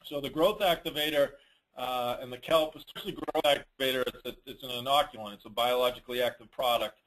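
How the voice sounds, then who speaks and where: A man's voice: medium pitch, fast, a bit bright, rough recording. A man hosting an informal question-and-answer call, giving advice in a blunt, colloquial way and repeating points for emphasis. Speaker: host of a dealer network conference call answering questions. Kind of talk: informal Q&A, advising.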